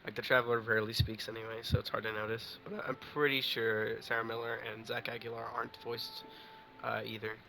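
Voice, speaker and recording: Man casually commentating, bright forceful voice. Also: medium pitch, level tone, a little fast, gravelly, a little hiss or hum, poor audio. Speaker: game streamer. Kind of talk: casual live gameplay commentary.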